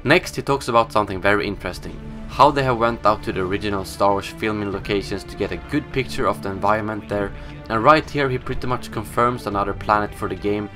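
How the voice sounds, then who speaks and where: Young Swedish man, gaming news host: a fairly low voice, casual and opinionated, with faint music behind him. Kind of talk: scripted news commentary.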